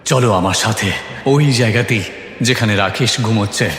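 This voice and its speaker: A man's voice: lowish voice, moderate pace, a bit bright, natural voice. A man playing a grieving, secretive middle-aged school principal, grave and commanding, in a horror story. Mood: tense orders. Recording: echoey, long reverb.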